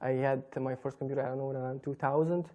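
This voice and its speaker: A young man with a Lithuanian accent, answering interview questions as a guest in a measured, explanatory way. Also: medium pitch, level tone, dull audio. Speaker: computer business guest. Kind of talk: interview talk. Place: TV studio in a hotel.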